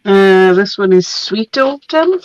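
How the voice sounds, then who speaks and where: A woman's voice: medium pitch, animated, slow, a little mellow, no room sound, dull audio. A woman getting a cold, chatting casually as she shows off craft papers, laughing often and trailing off with ums. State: getting a cold, losing her voice. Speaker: host showing craft papers. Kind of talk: casual product show-and-tell.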